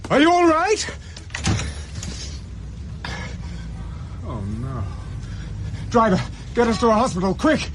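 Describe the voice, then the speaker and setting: High-voiced, frantic English fugitive. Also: male voice, wildly swooping pitch, normal speed, heavily acted. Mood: shocked, desperate. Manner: urgent, stammering, emotional. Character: distraught, hunted young Englishman, polite ex-officer. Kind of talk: radio drama acting. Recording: faint noise and ambience.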